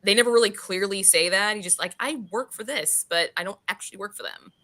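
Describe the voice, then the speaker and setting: A woman's voice: mid-range voice, fast, sharp tone. A woman hosting a casual book discussion, talking in a frank, informal way with some swearing. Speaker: book club host.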